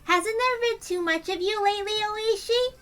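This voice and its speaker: A woman's voice: extremely high pitch, normal speed, a bit bright, faint music behind, pitched above the performer's own voice. A woman voicing a sly, teasing little shrine maiden girl in a visual novel. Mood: sly, needling.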